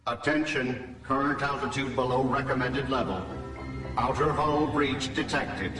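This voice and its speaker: A man's voice: mid-range voice, unhurried, natural voice. A man voicing an impassive spaceship computer in a video game, announcing in formal, clipped phrases. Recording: echoey, distant ship announcement over music.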